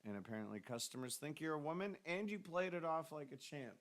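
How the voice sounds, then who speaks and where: A man with a deep, bassy voice, co-hosting a casual stream chat in a loose, joking way. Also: fairly quick. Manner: loose, joking, talks about mic setup. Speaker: stream co-host.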